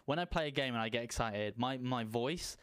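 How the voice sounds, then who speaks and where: A man co-hosting a casual chat about streaming, laughing often and getting loud when excited. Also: medium pitch, fairly quick, clear, resonant tone, no echo. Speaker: podcast co-host.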